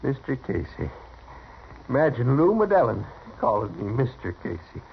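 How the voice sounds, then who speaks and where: An actor playing a garrulous, boastful, starstruck cowboy in a 1950s radio Western, with a rural American accent and a stammering, fawning, excitable manner. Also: male voice, medium pitch, moderate pace, gravelly. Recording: dull, noisy vintage radio recording.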